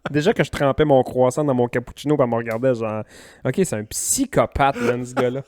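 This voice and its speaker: Male comedy co-host, Quebec French accent, mid-pitched, slangy, sweary and clownish, studio podcast setting. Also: fairly even intonation, a little fast, darkish tone. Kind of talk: casual comedic chat.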